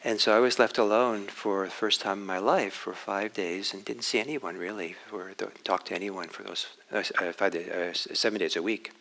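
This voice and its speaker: A man from Norway gives a dharma talk as a meditation teacher. His voice sits low yet carries a bright, forward edge. He speaks in an unhurried, reflective way and often pauses to restart his thoughts. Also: fairly even intonation.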